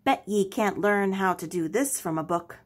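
A woman's voice: medium pitch, animated, normal speed, lightly acted. A woman voicing dismissive, taunting male pirate rabbit crewmen from a storybook, curt and jeering. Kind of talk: storybook character voice.

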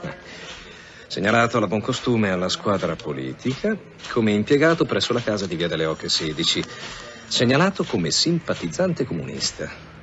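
Low-voiced, terse police detective. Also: male voice, very animated, normal speed, a bit bright. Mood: focused. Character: brooding, businesslike male police deputy commissioner. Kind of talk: Italian radio drama acting. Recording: dull, noisy radio drama recording.

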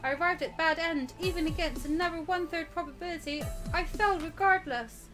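A woman's voice: very high-pitched, a little fast, over music, pitched above the performer's own voice. A woman voicing the frightened young protagonist of a horror visual novel, dramatic and anxious. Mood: alarmed.

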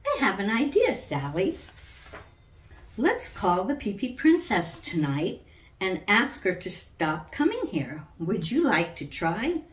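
A woman's voice: mid-range voice, huge pitch swings, takes it slowly. A woman voicing a loving, reassuring mother in a storybook, patient and soothing, in a roomy recording. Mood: encouraging. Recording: roomy, distant from the mic.

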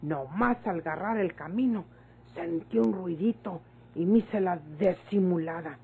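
An actress playing a protective, sharp-witted country woman with a northern Mexican accent in an old radio drama, earnest and rustic. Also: fairly low pitch, a little slow, phone-quality sound, slight background noise. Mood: sly recounting. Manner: earnest, rustic turns of speech.

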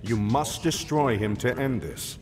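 A man's voice: mid-range voice, lively intonation, measured pace, a little hiss or hum, close to an everyday voice. An actor playing a solemn, wise father guiding his son in a video game cutscene.

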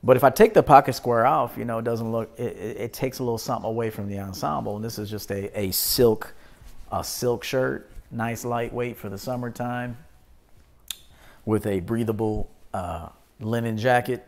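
A man in his thirties with a gravelly, mid-pitched voice hosts a live stream. He talks casually and at ease, shouting out viewers as he reads their comments. He is recorded in a small indoor studio through a webcam. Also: steady tone, normal speed. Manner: laid-back, shouting out viewers by name. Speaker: host.

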